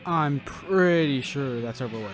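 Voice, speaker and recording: A man's voice: medium pitch, animated, measured pace, natural voice, rough recording. A man playing a small, wisecracking young man who needles his friends in an audio drama. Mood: teasing. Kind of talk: audio fiction dialogue.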